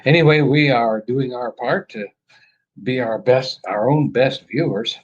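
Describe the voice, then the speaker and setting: A man's voice: mid-range voice, a little slow, lo-fi sound. A man co-hosting a casual live-stream chat, telling jokes in a playful storyteller's manner.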